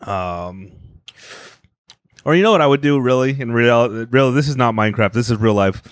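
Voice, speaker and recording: A man co-hosting a podcast, trading crude jokes in a flat, deadpan way. His voice sits in a middle register and leaps up when he mimics people. The sound is dry and close with no room echo. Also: normal speed. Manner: crude deadpan joking, swings up high for mock voices. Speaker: podcast co-host. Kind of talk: casual comedic chat.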